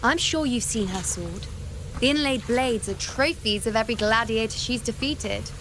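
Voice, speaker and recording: A woman's voice: a little high, moderate pace, noisy background, lightly acted. An actress playing a confident, wry young warrior woman in story dialogue for a video game. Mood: explaining, wry. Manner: wry, self-assured, teasing.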